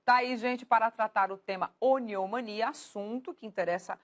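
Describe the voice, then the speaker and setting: A woman's voice: a little high, normal speed. A woman hosting a TV talk show, playful and teasing with her guests. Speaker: TV show host.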